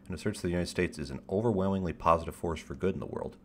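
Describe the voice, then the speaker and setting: Very deep-voiced rambling male streamer. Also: rapid-fire, a little mellow, slight background noise. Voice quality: deep radio-style voice. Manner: rambling, stop-start, self-deprecating; wry, swears casually. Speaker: livestream host. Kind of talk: casual livestream chat.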